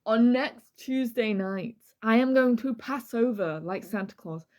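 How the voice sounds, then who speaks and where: Woman on a true crime pod, highish voice, reads stuff out dramatically then jokes about it. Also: unhurried, a little mellow. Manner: playful, irreverent, swears casually. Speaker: true crime podcast co-host. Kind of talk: dramatic letter reading mixed with casual banter.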